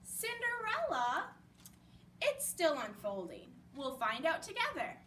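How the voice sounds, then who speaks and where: A woman reading a picture book aloud at a children's storytime, expressive and explaining things for young listeners. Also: high-pitched, slow. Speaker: library storytime reader. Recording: distant mic with faint background noise.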